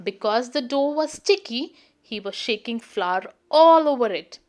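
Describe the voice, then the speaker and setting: A woman storyteller narrating a children's book aloud in a deliberate, expressive way. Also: on the high side.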